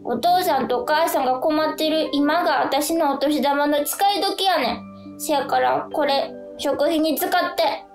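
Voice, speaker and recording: A caring little Kansai girl in a radio drama. The voice is high and earnest, echoing, with music clearly playing behind it. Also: measured pace. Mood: earnest, pleading. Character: earnest, caring young daughter, wise with money.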